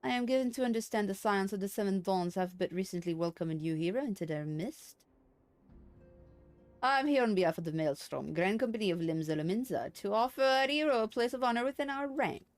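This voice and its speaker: A woman voicing a courtly, flattering military recruiting officer from a fantasy game, formal and earnest. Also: medium pitch, fairly quick, close to an everyday voice. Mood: courteous. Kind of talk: video game dialogue read aloud. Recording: game music behind the voice.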